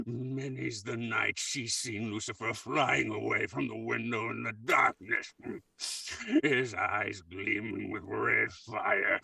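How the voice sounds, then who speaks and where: An actor playing a spiteful, gloating old miserly uncle with a gravelly, raspy voice, in 1940s horror radio style. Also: male voice, medium pitch, pushed and bright, no echo, far from the mic, exaggerated voice. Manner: menacing, cackling, drawn-out delivery.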